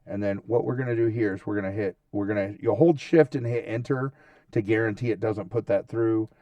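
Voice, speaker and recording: A man with a lowish, gravelly voice walks viewers through a tutorial in an informal, step-by-step way. He checks in often as he goes. The sound is dry, with the voice sitting a little away from the mic. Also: fairly even intonation, brisk, mellow, dry recording, recorded from a distance, highs cut off. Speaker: tutorial host. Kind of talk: casual screen-share tutorial, narrating steps as he goes.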